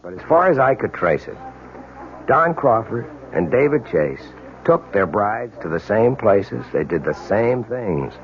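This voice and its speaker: An American actor playing a dogged, world-weary police detective in a radio drama, speaking earnestly and insistently with deliberate dramatic pauses. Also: male voice, fairly low pitch, very animated. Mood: intense, persuasive. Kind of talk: 1970s radio drama acting. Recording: dull, lo-fi old radio drama recording.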